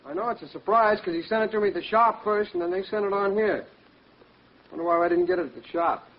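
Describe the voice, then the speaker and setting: An actor playing an easygoing married son in an old-time radio drama, soothing and playfully teasing. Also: male voice, high voice, quick pace, natural voice. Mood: curious. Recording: muffled, noisy old radio recording.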